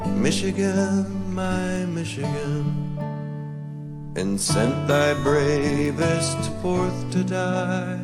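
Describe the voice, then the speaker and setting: A woman's voice: lowish voice, lively intonation, extremely slow. A woman singing a patriotic anthem. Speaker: singer. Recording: song with loud guitar accompaniment. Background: plucked guitar music.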